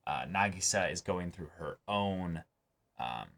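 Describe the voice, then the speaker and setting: Young guy w a low voice, casually picking apart a show, measured and analytical. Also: lively intonation, fairly bright tone, slight background noise. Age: young adult. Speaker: host of an anime reaction show. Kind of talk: casual discussion. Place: small indoor room, seated before a backdrop.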